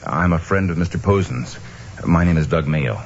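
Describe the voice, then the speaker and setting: An actor playing an easygoing, wry newspaper reporter in an old-time radio drama, recorded with a muffled sound. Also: male voice, low-pitched, normal speed, slight background noise. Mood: polite, inquiring. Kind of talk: old-time radio acting and first-person narration.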